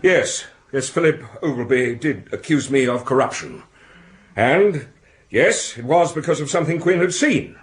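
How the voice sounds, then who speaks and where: An actor playing a flustered, defensive academic official in a radio drama, protesting in halting, formal phrases. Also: male voice, mid-range voice, animated, close to an everyday voice. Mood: earnest pleading.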